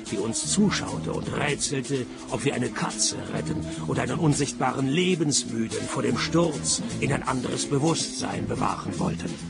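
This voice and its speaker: An actor playing a world-weary, sardonic private detective in a radio play, narrating and talking in a laconic deadpan. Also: male voice, medium pitch, gravelly, lightly acted. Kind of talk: first-person narration and dialogue in radio play.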